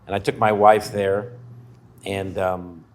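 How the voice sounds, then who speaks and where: A man's voice: sits low, level tone, takes it slowly, darkish tone. A man co-hosting a casual podcast, blunt and grumbling, swearing freely. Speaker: podcast co-host. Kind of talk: casual chat.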